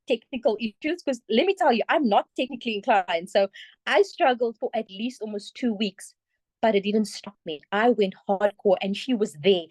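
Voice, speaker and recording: A woman's voice: mid-range voice, a little mellow, dry recording, low-quality recording. A woman giving an earnest, grateful testimonial on a call. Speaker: community member giving a testimonial.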